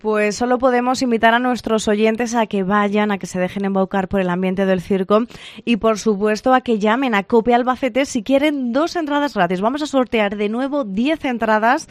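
A woman's voice: a little high, steady tone, normal speed, no room sound. Woman presenting on radio. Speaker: radio host. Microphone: studio mic.

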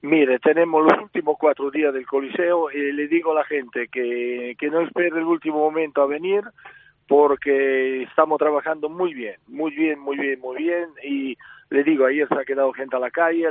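A man's voice: a little high, moderate pace, dry recording. A man with an Italian accent, interviewed on the radio about his circus, often repeating himself and restarting phrases. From Spain. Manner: repetitive, restarts often, promotional. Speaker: circus representative. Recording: tinny phone line.

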